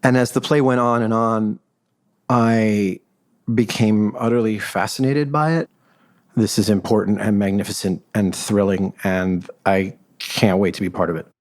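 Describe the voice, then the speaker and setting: A man's voice: lowish voice, fairly even intonation, unhurried. A man sharing personal reflections in an interview.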